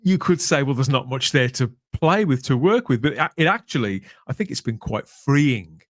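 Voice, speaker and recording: A man hosting a podcast in a casual but polished way, discursive and measured, often ending on tag questions. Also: medium pitch, expressive, dry recording, clean studio sound. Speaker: podcast host.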